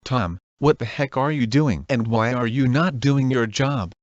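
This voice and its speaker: A man's voice: medium pitch, measured pace, dull audio, lightly acted. A synthetic male text-to-speech voice playing a stern boss who reads emergency alerts in a comedy skit. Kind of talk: formal scripted emergency announcement.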